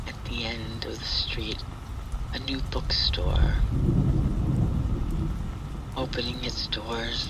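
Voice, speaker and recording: Male horror storyteller, medium pitch, unhurried and hushed, scripted atmospheric read over clear rain noise. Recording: steady rain and thunder noise. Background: rain and thunder ambience.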